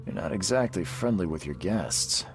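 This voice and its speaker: A man voicing a private investigator who asks calm, dry questions in a video game cutscene. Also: low voice, moderate pace, faint music behind.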